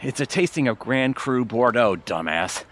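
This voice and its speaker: A man's voice: medium pitch, animated, normal speed, fairly bright tone, a little hiss or hum, natural voice. A man playing a genial, easily distracted older husband in an audio theatre comedy. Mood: worried, testy. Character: genial, distractible older husband, sports fan and wine buff.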